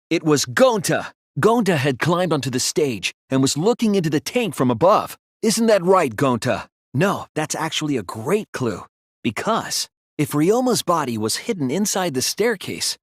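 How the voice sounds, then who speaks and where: A man voicing an earnest, soft-spoken teenage detective in a video game, reasoning carefully through a case. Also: mid-range voice, no echo, clean studio sound. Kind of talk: video game voice acting, deductive exposition.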